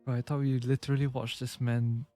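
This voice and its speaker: A man's voice: medium pitch, level tone, fairly quick, warm tone, no echo, soft background music. A man reacting casually to a story game as he streams it, in slangy exclamations on a close mic.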